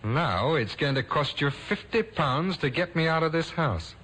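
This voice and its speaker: An actor playing a smooth-talking young handyman conman with glib, folksy patter, in 1950s radio drama style. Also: a little high, animated, unhurried, a bit bright. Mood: menacing. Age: young adult. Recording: noisy old 1950s radio recording.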